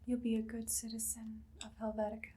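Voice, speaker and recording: A woman playing an evil, menacing queen with dry humor in a soft, whispered ASMR roleplay, giving slow commands. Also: a little high, completely flat delivery, a little hiss or hum, close to an everyday voice. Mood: coolly threatening.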